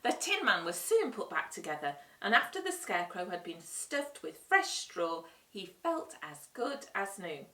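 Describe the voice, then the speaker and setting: Slow, hugely expressive woman storyteller. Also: medium pitch, distant mic. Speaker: storytime reader. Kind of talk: expressive children's storybook narration. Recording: roomy echo, away from the mic.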